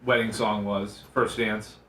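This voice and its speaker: Bright-voiced teasing man, echoey radio banter. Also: lowish voice, flat delivery, a little slow. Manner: teasing, needling. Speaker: radio show co-host. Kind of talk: casual joking radio banter. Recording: echoey room sound.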